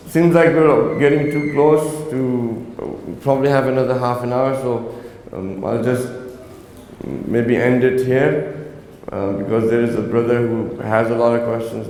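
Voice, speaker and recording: A man's voice: mid-range voice, steady tone, unhurried, vocal fry, darkish tone, slight background noise. Earnest man lecturing in an echoey hall. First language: Urdu. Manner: earnest, exhorting, asks the audience "right?". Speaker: religious lecturer. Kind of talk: earnest religious talk to young people.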